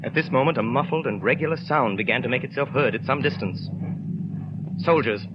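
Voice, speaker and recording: A man giving dramatic, deliberately phrased narration for a 1930s radio drama. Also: medium pitch, over loud music. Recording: dull, noisy 1930s radio recording.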